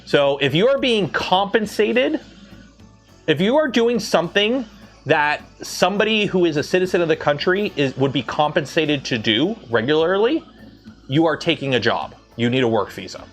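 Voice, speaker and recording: A man's voice: on the high side, very animated, normal speed, faint music behind. A man in his thirties from Southern Ontario with a light Southern Ontario Canadian accent, rambling casually with many fillers on a livestream. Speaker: 3D printing livestreamer.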